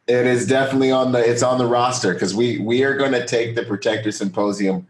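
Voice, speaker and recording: A man's voice: medium pitch, fairly even intonation, quick pace. An American man hosting a podcast in casual, enthusiastic, slangy and emphatic conversation. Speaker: podcast host. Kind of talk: casual interview chat.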